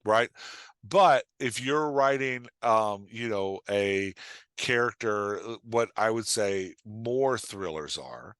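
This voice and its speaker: A man's voice: on the low side, expressive, slow, fairly bright tone, no room sound, studio quality. A man talking casually as a podcast guest and writing coach, making points emphatically and acting out imagined lines. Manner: emphatic, acts out imagined lines, checks with "right?". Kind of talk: casual podcast advice talk.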